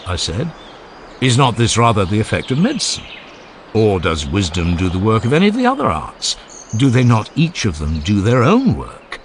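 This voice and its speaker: A man narrating a dramatized classical dialogue in a formal, measured and expressive oratorical manner. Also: fairly low pitch, a little hiss or hum. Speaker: audiobook narrator. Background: ambient sound effects.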